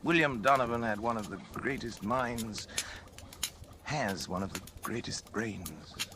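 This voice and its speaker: Commanding obsessive surgeon, old radio drama. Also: male voice, fairly low pitch, a little slow, background noise. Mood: quietly insistent. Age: middle-aged. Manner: authoritative, clipped orders. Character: obsessive, commanding surgeon and scientist, cold father. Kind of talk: 1940s radio acting. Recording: old radio broadcast with music.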